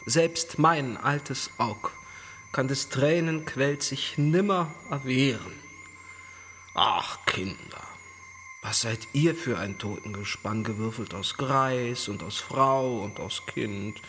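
A man's voice: mid-range voice, slow pace, sharp tone. A man acting a cruel tyrant in a theatrical radio tragedy, drawing out his words with sardonic mock lament. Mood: mock pity. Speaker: actor. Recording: long reverb with background noise.

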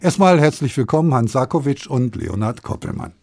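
A man's voice: medium pitch, animated, fairly quick, gravelly, darkish tone, no room sound. A man presenting a radio talk programme from a studio, moving between scripted moderation and interview questions. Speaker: radio host.

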